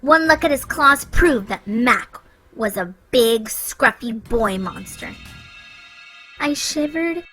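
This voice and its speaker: Bright lively woman reading a story. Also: on the high side, slow, soft background music, low-quality recording. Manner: expressive, playful. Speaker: children's story reader. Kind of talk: read-aloud storytelling.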